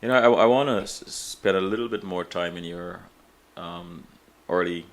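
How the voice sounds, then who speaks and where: A man's voice: low-pitched, moderate pace. A man hosting an interview, asking long, searching questions with many stammered restarts. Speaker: interview host.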